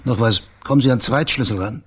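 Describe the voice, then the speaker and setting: An actor playing a dogged private investigator in a German radio crime drama, matter-of-fact and persistent, with a husky voice. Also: male voice, mid-range voice, brisk, crowd noise, natural voice. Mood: businesslike.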